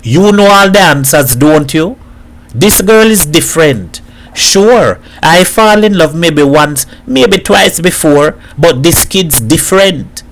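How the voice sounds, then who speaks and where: Man acting a brash, high-pitched Trinidadian show-off. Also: animated, takes it slowly, slight background noise, low-quality recording. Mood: earnest, defensive. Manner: emphatic, boastful. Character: Edward, brash proud Trinidadian man aping Americans.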